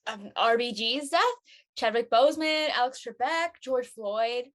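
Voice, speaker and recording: A woman plays an everyday female character in an audio drama. Her delivery is casual and conversational, in a somewhat high voice. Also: unhurried, close to an everyday voice. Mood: reflective. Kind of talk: live audio drama table read.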